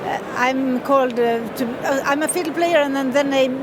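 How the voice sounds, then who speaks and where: Norwegian lady in her 50s, fiddler, talks high and halting, lots of um and uh, noisy street behind. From northern Norway. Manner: hesitant, many fillers and restarts, laughs often. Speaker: fiddle player and composer. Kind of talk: casual interview. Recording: loud street noise behind.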